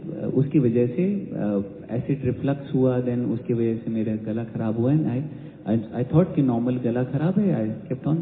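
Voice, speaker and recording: A man, a singer, shares a personal testimony at a devotional gathering, telling his story and mixing Hindi with English as he goes. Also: medium pitch. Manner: storytelling, switching often between Hindi and English. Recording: echoey hall, tinny phone-like sound.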